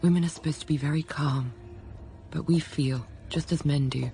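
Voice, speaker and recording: An actress playing a restless, self-controlled young governess in a radio drama, speaking in a measured, introspective way. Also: female voice, low voice, steady tone, mellow. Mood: restless, reflective. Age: young adult. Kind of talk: radio drama, first-person literary narration and dialogue. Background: background music.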